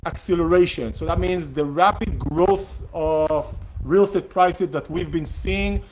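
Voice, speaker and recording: Middle-aged host, Hebrew first language; hesitant and filler-heavy, slightly high-pitched; faint noise floor. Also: male voice, measured pace, low-quality recording. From Israel. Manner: hesitant, many fillers and restarts. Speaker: real estate investor hosting a Q&A session. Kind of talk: casual live-streamed talk.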